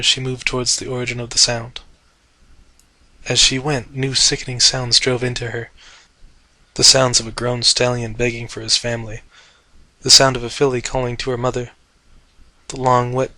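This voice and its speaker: A man narrates fiction in a dramatic, theatrical style. His voice is mid-pitched, bright and tense, delivered with intensity and captured very dry and close. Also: monotone, moderate pace, dry recording, rough recording. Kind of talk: dramatic scripted story narration.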